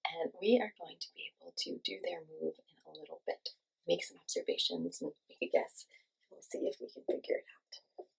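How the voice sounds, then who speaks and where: A woman teaching young children, giving simple encouraging instructions and asking questions, with pauses for answers. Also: on the low side, creaky, slightly rough, poor audio. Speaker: children's class teacher and host. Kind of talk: children's lesson with guided movement.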